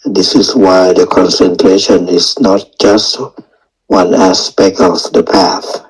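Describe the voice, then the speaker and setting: A man teaching guided meditation in non-native English phrasing, speaking in short, halting phrases with long pauses. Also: deep voice, flat delivery, slightly rough, low-quality recording. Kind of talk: guided meditation and dharma talk.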